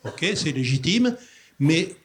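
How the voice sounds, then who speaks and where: Higher-voiced man stumbling into a question. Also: big pitch swings, slow. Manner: hesitant, stumbling start. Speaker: audience member asking a question. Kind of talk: question from the floor.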